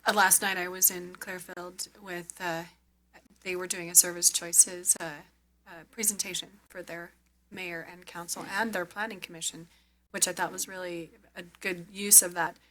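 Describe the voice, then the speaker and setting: Bright-voiced woman commenting at a meeting. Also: on the low side, flat delivery, normal speed, a little hiss or hum, low-quality recording. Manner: polite, conversational remarks. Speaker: board member.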